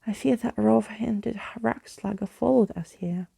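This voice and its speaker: A woman's voice: mid-range voice, a little slow, gravelly, dark tone, husky, no room sound, studio quality, heavily acted. A woman voicing a theatrical, wistful yet gleeful old male skeleton in a storybook, with florid old-fashioned speech.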